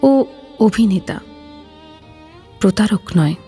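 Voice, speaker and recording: A woman's voice: medium pitch, expressive, slow pace, dark tone, big-room sound, soft background music. A woman narrating a scripted story dramatically, as presenter of an audio drama.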